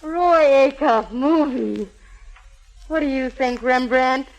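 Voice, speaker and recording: A woman's voice: high-pitched, slow pace, soft background music, poor audio. An actress playing a plucky, wry female detective bantering in an old radio drama. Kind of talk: 1940s radio drama acting. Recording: vintage radio recording.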